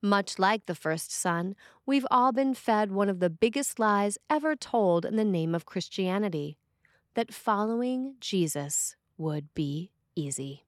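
Woman, preacher, scripted sermon, expressive delivery, mid-range voice, dry recording. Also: medium pitch, unhurried, no room sound. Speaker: priest reading a written sermon. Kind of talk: scripted sermon reading for a podcast.

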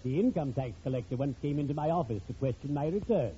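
An actor playing a genial, macabre undertaker in a 1940s radio comedy, speaking with pompous formality and drawn-out theatrical relish. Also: male voice, medium pitch, lively intonation, dark tone, natural voice. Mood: solemn, droll. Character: ghoulishly genial, pompous male undertaker, fond of puns. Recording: muffled, noisy vintage radio recording.

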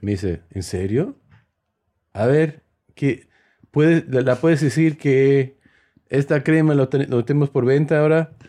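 Mellow man with mixed Spanish accent. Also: mid-range voice, unhurried, pauses often. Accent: mixed Spanish with Peruvian, Spain and Mexican influences. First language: English. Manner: acts out dialogue while telling anecdotes. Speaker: host. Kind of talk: casual conversational storytelling.

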